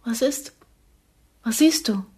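Brief: frightened young woman agent in radio thriller; slightly high, breathy, subdued. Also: expressive, slow pace, darkish tone, heavily acted. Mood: anxious, coaxing. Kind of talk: German radio play acting.

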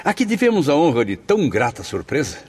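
A man's voice: a little high, wildly swooping pitch, a little fast, darkish tone, slightly rough, full character voice. A man acting a deferential, delighted spirit in a radio drama, reverent and effusive. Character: deferential, delighted male spirit welcoming an honoured brother.

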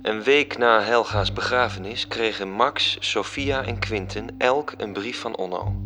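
A man's voice: lowish voice, measured pace, over music. A man narrating a scripted radio drama as its storyteller.

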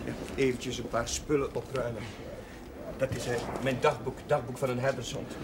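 A man playing a warm, talkative and idealistic young village chaplain in a television drama, hesitant and musing but easily worked up. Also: mid-range voice, little pitch movement, normal speed, close to an everyday voice. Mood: hospitable. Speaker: actor in a television drama series. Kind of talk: naturalistic television drama dialogue. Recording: noisy old TV soundtrack, clattering dishes.

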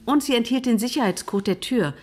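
An actress playing a proud, defensive widow under suspicion in a radio drama, controlled and indignant. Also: female voice, fairly high pitch, fairly quick, natural voice.

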